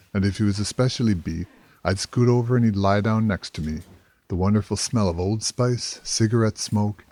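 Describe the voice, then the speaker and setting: A man's voice: lowish voice, mellow. A man narrating a scripted first-person story. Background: music.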